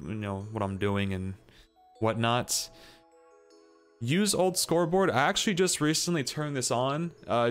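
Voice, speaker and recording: A young man from Australia, speaking at a mid pitch, goes through a list in an easygoing, explanatory voice that rises on each item he names. He talks close into a boom mic in a small bedroom, with soft music playing faintly underneath. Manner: relaxed, explanatory, lifts pitch on each setting name. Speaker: gaming streamer. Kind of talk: casual settings walkthrough. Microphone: boom mic on arm near face.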